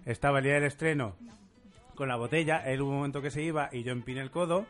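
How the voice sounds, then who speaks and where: Man, amateur stage actor, fast and jokey radio guest. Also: mid-range voice, a bit bright, soft background music. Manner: jokey storyteller, laughs while talking. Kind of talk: casual radio interview chat.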